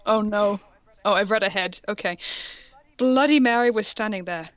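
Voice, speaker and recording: A woman's voice: mid-range voice, normal speed, lo-fi sound. A woman co-hosting a casual reaction show, reading fan fiction aloud and adding playful, mocking commentary. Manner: playful, mocking, puts on voices for quoted dialogue.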